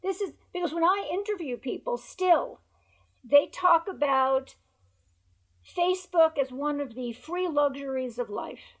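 A hoarse woman who has lost her voice, speaking emphatically as a researcher guest on a video call interview, through earbuds. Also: high voice, animated, unhurried, low-quality recording. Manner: emphatic, repeats points for stress. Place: bright room at home by a window. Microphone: wired earbuds on a video call.

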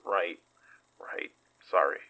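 An actor playing a weary investigator in a horror audio drama, husky and halting as he recounts danger. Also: bright, forceful tone, rough recording. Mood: subdued.

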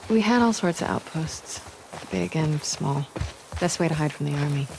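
A woman's voice: low voice, moderate pace, noisy background. A woman playing a tough, determined young survivor in a game, terse and matter-of-fact. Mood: focused. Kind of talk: naturalistic game acting.

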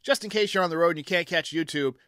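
Male sports talk host, thirties, forceful and clean-voiced, close on the mic in a studio. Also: on the high side, fast, no echo. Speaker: host of a sports fan show. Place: small home studio, indoors. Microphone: close studio mic on boom arm.

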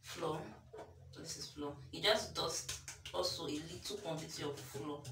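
A woman's voice: low-pitched, unhurried, over music. A young Nigerian woman in her twenties with a Nigerian accent, chatting casually through a baking tutorial in a small home kitchen while she works. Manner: chatty, self-deprecating, talks while working. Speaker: baking vlogger. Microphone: camera mic from across the kitchen. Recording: echoey kitchen from far off, noisy.

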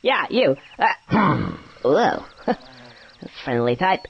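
A man's voice: a little high, big pitch swings, very slow, vocal fry, breathy edge, muffled recording, heavily acted. An actor playing a cheeky, laid-back young male squirrel in a cartoon video game, musing wryly to himself. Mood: casual. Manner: wry, offhand musing to himself.

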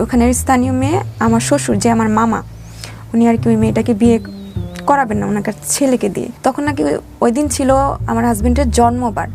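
A woman's voice: on the high side, vocal fry. A woman in her twenties with a Bangladeshi accent, telling a personal life story as an interview guest in plain, colloquial speech. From Mymensingh, Bangladesh. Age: 20s. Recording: loud background music under the voice. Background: music.

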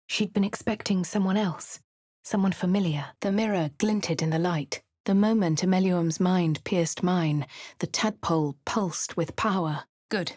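An actress with a posh English accent voicing a composed female adventurer, reading game narration and voice lines in a crisp, dry manner. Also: fairly low pitch, unhurried, a little mellow, no room sound. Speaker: voice of the player character.

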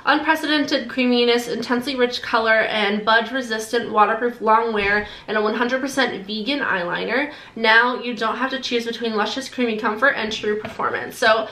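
A woman's voice: fairly high pitch, steady tone, sharp tone, echoey room. A young woman in her twenties with a nasal, congested voice, chatting casually and gushing through a product unboxing to camera. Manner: bubbly, gushing, quick asides. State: sore throat, congested. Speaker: beauty vlogger. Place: decorated bedroom, indoors.

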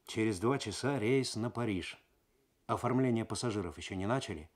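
A man reads the part of a senior police detective in a radio story. He is brisk and gruff, with a businesslike, understated way of talking. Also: on the low side, lightly acted.

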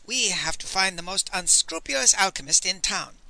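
A male narrator acts out a crafty old sage from a storybook. He is haughty and quick to scold, in a high, piercing voice that swoops dramatically. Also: big pitch swings, sharp tone, no room sound, exaggerated voice. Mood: brisk, scheming. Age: older. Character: crafty, irascible old Chinese sage, loudly theatrical.

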